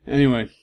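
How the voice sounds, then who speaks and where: A man's voice: medium pitch, slightly rough, highs cut off. A man reading a story aloud as a comedic host, breaking off for wry, amused asides.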